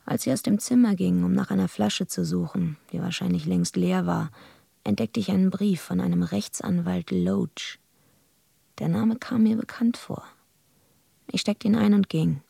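Radio drama narrator, tough streetwise young woman, husky and fry-laden, wry delivery. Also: lowish voice, normal speed, dark tone, no room sound, clean studio sound, full character voice. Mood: dry, matter-of-fact. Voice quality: gravelly, husky. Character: tough, streetwise muscular young woman telling her story.

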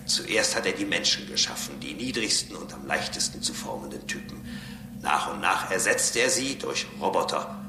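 An actor in a radio drama playing a calm, condescending robot who preaches solemnly and pedantically about serving a higher master. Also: male voice, moderate pace. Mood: lofty, preaching. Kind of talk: German radio drama acting. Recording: roomy echo, music bed behind.

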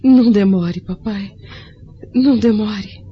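A woman's voice: mid-range voice, slow pace, slight background noise, natural voice. An actress playing a frail, blind, melancholy Roman noblewoman in a radio drama, wistful and earnest. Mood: anxious. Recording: dull lo-fi recording, music behind.

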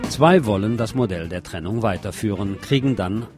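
A man's voice: on the low side, normal speed. A man presenting radio news, reading from a script with the odd informal aside. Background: music.

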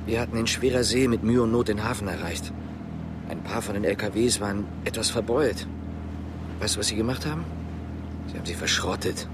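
A man's voice: lowish voice, animated, fairly quick. A man playing a guarded, brooding East German policeman on the run in a radio drama, speaking tersely and with understatement. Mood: resigned. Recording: noisy, car and traffic sounds. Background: vehicle ambience.

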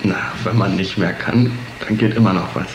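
A man's voice: low voice, steady tone, moderate pace. A man playing a colloquial, coaxing male partner in a bickering couple in a radio play. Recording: roomy, noisy radio play scene.

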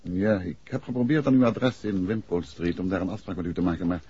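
An actor playing a calm, probing amateur detective in a 1960s Dutch radio play, with polite, measured questioning. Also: male voice, deep voice, husky. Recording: muffled vintage 1960s radio recording.

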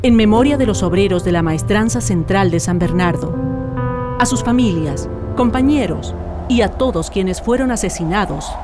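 A woman narrator with a Chilean accent reads a formal script. Her pitch is middling for a woman. Music plays clearly behind her. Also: lively intonation, moderate pace.

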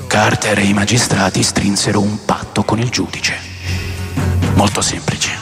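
A man narrating a radio drama in the first person, with a gravelly, theatrical and wry storytelling delivery. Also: fairly low pitch, little pitch movement, unhurried. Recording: long reverb, music underneath.

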